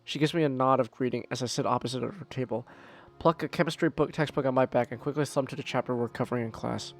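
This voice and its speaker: A man's voice: mid-range voice, fast talker, faint music behind. Stammering young male streamer. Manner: stammering, repeats words, chuckles. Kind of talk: reading a visual novel aloud with asides.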